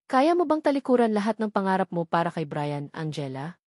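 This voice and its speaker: A woman voicing a serious, caring, wealthy older lady giving measured advice in an audiobook. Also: mid-range voice, sing-song, a little mellow, no room sound, studio quality. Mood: serious, concerned. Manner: grave, measured advising. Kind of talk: audiobook character dialogue.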